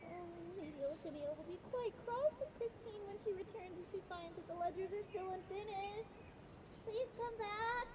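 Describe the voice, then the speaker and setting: A woman's voice: very high-pitched, normal speed, background noise. A woman voicing a timid young fox-girl servant in an audio roleplay, with a childlike, meekly pleading manner. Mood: anxious. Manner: childlike, third-person, meek pleading. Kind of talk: roleplay audio drama acting.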